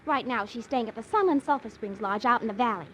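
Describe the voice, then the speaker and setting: Actress as a high-voiced, determined young American woman. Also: quick pace, slight background noise, close to an everyday voice. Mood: earnest, conspiratorial. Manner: earnest, urgent, insistent. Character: determined, pleading young woman seeking justice for father. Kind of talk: 1950s radio drama acting.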